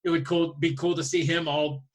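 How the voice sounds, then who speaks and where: A man's voice: a little high, little pitch movement, fairly quick. A man co-hosting a casual podcast, talking informally and feeling his way through his sentences. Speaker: podcast co-host. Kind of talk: casual podcast chat about films and games.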